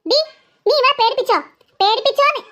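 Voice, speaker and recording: A woman plays an exasperated cartoon mother with a very high voice. She scolds impatiently and turns sarcastic with her children, in comic family skit style. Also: a little fast, a bit bright. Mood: stern.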